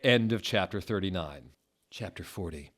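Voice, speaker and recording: A man narrating fan fiction in a dramatic comedic reading, with a mock-serious, deliberate and exaggerated delivery. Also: low-pitched, expressive, fairly bright tone.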